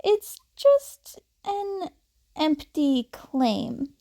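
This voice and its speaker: A woman voicing a proud, offhand teenage boy from a storybook. He sounds high and boyish, sure of himself, shrugging things off in a slow, mellow delivery. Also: animated, exaggerated voice.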